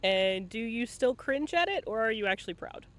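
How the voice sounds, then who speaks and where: A man's voice: high voice, lively intonation, moderate pace, fairly bright tone. A man hosting a casual live-stream interview, encouraging and bantering, with some swearing. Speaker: interviewer and host.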